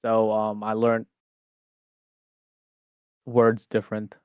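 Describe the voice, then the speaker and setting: A man co-hosting a stream, teasing his partner with quick comebacks in casual banter. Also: lowish voice, monotone, smooth, dry recording, phone-quality sound. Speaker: streamer co-host.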